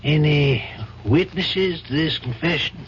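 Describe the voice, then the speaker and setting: An actor playing an older, compassionate judge in an old-time radio drama, reasoning in a measured, probing, paternal manner. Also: male voice, a little high, close to an everyday voice. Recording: dull, faintly noisy old radio recording.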